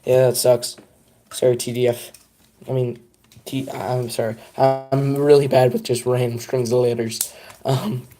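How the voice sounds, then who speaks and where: A man's voice: medium pitch, warm tone. A young man chatting casually on a live stream, rambling and self-deprecating as he talks to his viewers.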